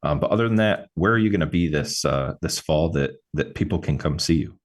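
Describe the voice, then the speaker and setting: A man hosting a podcast conversation, talking casually and haltingly with lots of restarts. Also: very deep voice, expressive. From New York State. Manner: halting, many restarts and fillers. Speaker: podcast host, mover. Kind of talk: casual interview chat.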